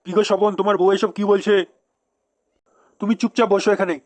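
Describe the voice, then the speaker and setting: A man's voice: high-pitched, little pitch movement, a little fast. A man voicing outraged, blustering village men in a comedy cartoon, speaking dramatically. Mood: dismayed.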